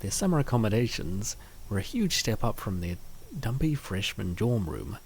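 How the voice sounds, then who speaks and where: Male comic-dub narrator, lowish pitch, animated delivery, some noise behind the voice. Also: moderate pace. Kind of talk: scripted story narration.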